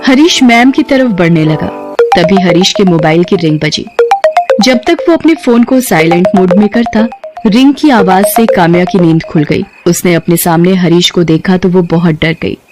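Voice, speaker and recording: A woman's voice: lowish voice, moderate pace, loud music behind the voice. A woman working as a narrator, reading a scripted story.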